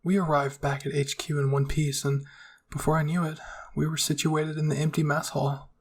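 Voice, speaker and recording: A man's voice: a little high, level tone, normal speed, husky. A man narrating a first-person fan fiction story as an ASMR roleplay.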